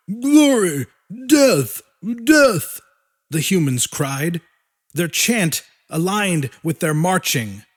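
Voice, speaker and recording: A man narrating a fiction audiobook in a dramatic, scripted storytelling style. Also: fairly high pitch.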